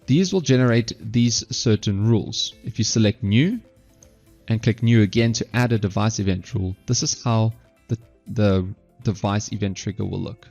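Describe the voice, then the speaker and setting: A man narrating an instructional software screencast step by step, halting at times and correcting himself. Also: lowish voice, muffled recording, faint music behind. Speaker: software tutorial presenter.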